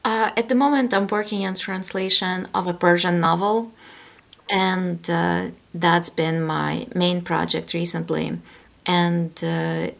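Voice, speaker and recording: Gravelly-voiced woman, slow and thoughtful. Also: fairly low pitch, steady tone. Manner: thoughtful, hesitant, many fillers. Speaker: guest, author and translator. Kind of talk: interview conversation.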